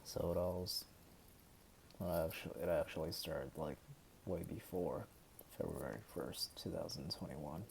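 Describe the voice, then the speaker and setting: A young man in his twenties from the United States, talking hesitantly and softly to the camera at home late at night. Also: extremely low pitch, creaky, slight background noise. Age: 20s. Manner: hesitant, halting and soft-spoken. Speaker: vlogger. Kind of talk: personal talk to camera.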